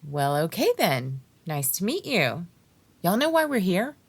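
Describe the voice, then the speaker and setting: Casting: a woman to play a chatty, blunt vampire DJ for audio drama, animated and lowish, close dry sound. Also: a little slow, breathy edge, dry recording, exaggerated voice. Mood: brash, breezy. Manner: sassy, casual, blunt. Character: brash, chatty, sociable young female vampire DJ.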